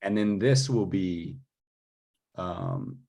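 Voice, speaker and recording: A man's voice: deep voice, wildly swooping pitch, extremely slow, vocal fry, darkish tone, husky. A man chatting informally, thinking aloud in a tentative way as he talks through his work. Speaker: composer. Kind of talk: informal chat about own work.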